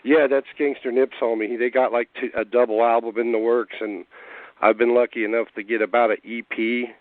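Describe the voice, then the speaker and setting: Hoarse man on a tinny phone line. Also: mid-range voice, little pitch movement, brisk, dry recording. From Cincinnati area. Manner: laid-back, rambling with fillers. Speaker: guest rapper. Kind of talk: casual interview over phone.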